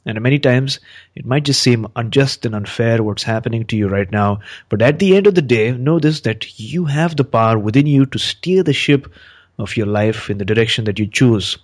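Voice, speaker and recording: A man's voice: medium pitch, normal speed. A man hosting a podcast, giving an earnest motivational talk and asking questions. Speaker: podcast host.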